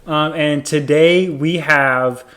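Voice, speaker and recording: A man's voice: on the high side, fairly even intonation, slow, fairly bright tone. A young man hosting a podcast, friendly and enthusiastic, often stammering and restarting his sentences. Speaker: podcast host. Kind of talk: casual interview chat.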